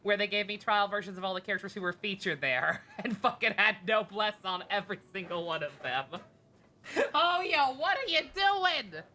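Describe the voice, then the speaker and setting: A woman's voice: medium pitch, lively intonation, normal speed, bright, forceful tone, a little hiss or hum. A woman doing casual live game commentary as a streamer, chatty, sarcastic and sweary. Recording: game music behind voice. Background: video game music.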